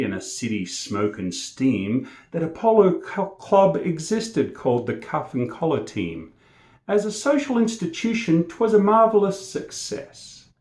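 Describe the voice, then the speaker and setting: A man reciting and presenting poetry in an energetic, theatrical storytelling manner. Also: mid-range voice. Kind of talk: expressive poetry recitation with casual introduction. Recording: echoey room.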